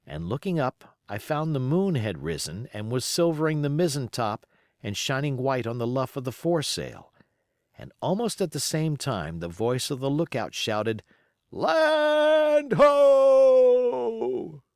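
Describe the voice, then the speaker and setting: A man reading an adventure story aloud as an expressive audiobook narrator. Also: medium pitch, moderate pace, dry recording, clean studio sound. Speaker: audiobook reader.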